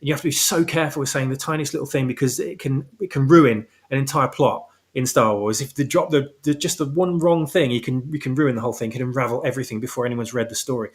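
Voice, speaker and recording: A man's voice: medium pitch, fast, hardly any pauses. Emphatic man explaining his story. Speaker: guest author of fan fiction. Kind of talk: casual interview chat about his own story.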